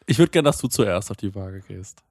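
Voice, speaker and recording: A man's voice: on the low side, animated, moderate pace, a little mellow, no echo, clean studio sound. A man on a casual podcast, rambling with many fillers and poking fun at himself. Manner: rambling, full of fillers, self-mocking. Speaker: podcast co-host. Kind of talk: casual chat.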